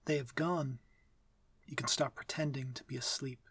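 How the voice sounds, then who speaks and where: Vampire lord male actor, ASMR fantasy: husky, crisp-edged, formal, aloof, quietly commanding. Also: mid-range voice, fairly even intonation, dry recording. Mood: cool, stern. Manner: formal, measured, commanding diction. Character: courtly, aloof but civil male vampire master. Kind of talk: ASMR fantasy audio roleplay. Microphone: close, dry microphone.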